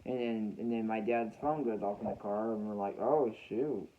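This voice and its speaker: A young American man telling a story casually to his viewers, unscripted, often repeating and rephrasing his words as he goes. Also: lowish voice. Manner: halting, repeats and rephrases phrases. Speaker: weather radio hobbyist vlogger. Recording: dull, muffled lo-fi home recording.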